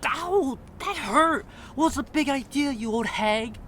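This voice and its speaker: A woman's voice: high-pitched, lively intonation, slow, noisy background. A woman voicing a bratty, irritable young boy in an anime fan dub, brusque and defensive in manner. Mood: outraged. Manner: brusque, indignant. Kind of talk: anime fandub acting. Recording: music behind the voice.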